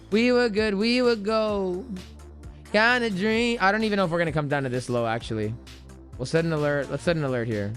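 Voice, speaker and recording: A man hosting a casual live stream, commenting in an excitable, slangy way and joking with his viewers. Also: fairly high pitch. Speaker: livestream host doing crypto chart analysis. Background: music playlist playing.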